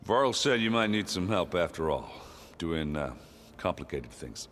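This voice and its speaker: A man's voice: sits low, very animated, a little slow, a bit bright, big-room sound, soft background music, heavily acted. An actor playing a big, cheerful and clumsy male warrior in a video game, hearty and joking.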